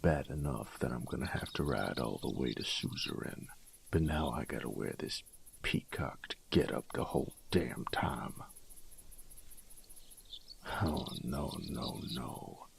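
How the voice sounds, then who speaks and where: Man playing a deep, gravelly, grumbling bandit knight. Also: unhurried, exaggerated voice. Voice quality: low, gravelly. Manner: grumbling, folksy drawl, crude. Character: gruff, rustic, sardonic bandit turned knight, male, thick-skinned nonhuman. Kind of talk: audio roleplay drama.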